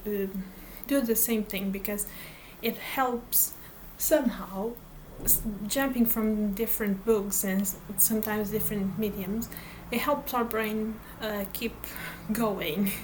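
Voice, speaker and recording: A woman's voice: mid-range voice, darkish tone, slight background noise, low-quality recording. A woman whose first language is Portuguese, chatting casually to camera about her reading plans, hesitant, with many fillers and restarts. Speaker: book vlogger.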